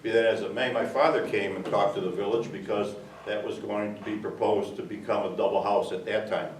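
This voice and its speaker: A retired local man stands to address a village board, loud and earnest, wandering through his memories with plenty of fillers. His low voice comes from well off the mic in a hollow, echoing hall with a faint hiss behind. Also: steady tone, fairly quick, distant mic. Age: older. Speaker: resident giving public comment. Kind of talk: public comment at a village meeting. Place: village meeting room. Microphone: far room mic.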